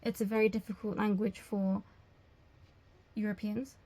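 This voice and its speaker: A young woman answering job interview questions as a language teacher, explaining things in a measured way. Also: medium pitch, level tone, warm tone. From England.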